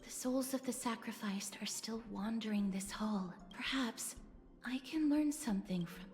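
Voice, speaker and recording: A woman voicing a solemn, gentle young spirit medium in a video game, hushed, measured and formal. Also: on the high side, close to an everyday voice. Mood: solemn, reverent. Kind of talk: dramatic video game voice acting. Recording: game dialogue over music.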